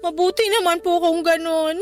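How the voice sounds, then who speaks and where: A woman's voice: very high-pitched, steady tone, normal speed, faint music behind. A woman playing a devoted, worried young grown-up child in a radio drama, earnest, respectful and emotional. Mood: earnest, reassuring. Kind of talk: Tagalog radio drama acting.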